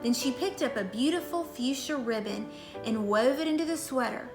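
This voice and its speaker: A woman's voice: sits high, lively intonation, normal speed, roomy sound, over music, low-quality recording. A woman reading a children's storybook aloud in a scripted narration style. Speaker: story reader.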